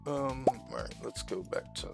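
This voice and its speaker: Very low, gravelly woman thinking aloud. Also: huge pitch swings, a little slow. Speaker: gaming channel host. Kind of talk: casual let's-play commentary. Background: game music and sound effects.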